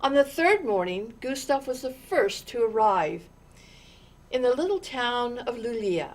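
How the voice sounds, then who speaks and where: A woman reading a children's story aloud in an expressive, deliberate way, with dramatic pauses and stressed words. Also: on the high side. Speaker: storytime reader.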